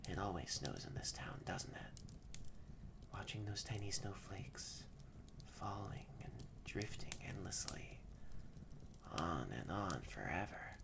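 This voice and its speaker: A young adult performer voicing a haughty, grumpy yet secretly shy catboy in an intimate roleplay audio drama, stammering and often slipping into breathy near-whispers. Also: deep voice, very animated, slow pace, exaggerated voice. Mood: soft, sleepy.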